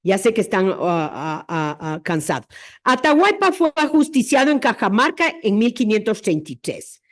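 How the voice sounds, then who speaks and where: A woman's voice: mid-range voice, big pitch swings, normal speed. A woman presenting an academic history lecture, explaining her reasoning step by step in a didactic way.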